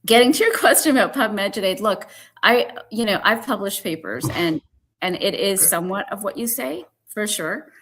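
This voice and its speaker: A woman's voice: medium pitch, expressive, normal speed, a bit bright, slightly rough. A woman guest on a podcast, talking earnestly with frequent "you know" fillers. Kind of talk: conversational podcast discussion.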